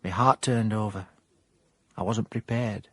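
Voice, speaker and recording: An actor with a South Yorkshire accent playing a hapless ex-miner turned private investigator in a radio comedy-drama, deadpan and mock hard-boiled. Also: male voice, fairly low pitch, measured pace, breathy edge. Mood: wry narration. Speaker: narrator and lead actor. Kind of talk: radio comedy-drama with first-person narration.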